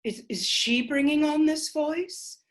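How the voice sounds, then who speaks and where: A driven suffragist leader, played by a woman, speaks earnestly and with urgency, her voice pitched a little high. She plans aloud and presses forward, unhurried in pace but insistent in tone. The role comes from a solo stage drama. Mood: startled. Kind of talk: one-woman stage monologue.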